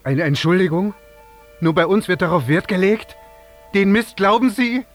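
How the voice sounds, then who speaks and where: A man's voice: high-pitched, lively intonation, normal speed, faint music behind. Bitter, grieving father demanding answers. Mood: bitter accusation. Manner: accusing, insistent, wounded. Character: bereaved foreign father, bitter, demanding an apology. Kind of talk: radio drama acting.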